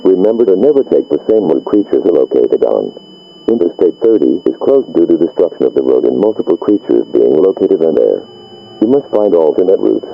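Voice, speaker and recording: Male alert announcer, extremely low and gravelly, swinging intonation, muffled lo-fi broadcast with faint music. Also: very animated, a little fast. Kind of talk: scripted emergency broadcast announcement.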